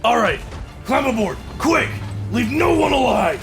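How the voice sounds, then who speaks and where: A man's voice: extremely high pitch, very animated, measured pace, creaky, soft background music, heavily acted. A man voicing a ruthless, bossy rival pirate captain who barks urgent orders in an audio drama. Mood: urgent, commanding.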